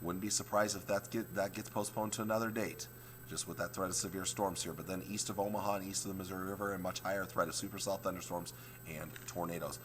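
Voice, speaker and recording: A male meteorologist, hoarse and struggling with his voice, walking viewers through the morning forecast. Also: sits low, fairly even intonation, fast talker, a little hiss or hum. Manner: explains maps step by step, repeats points.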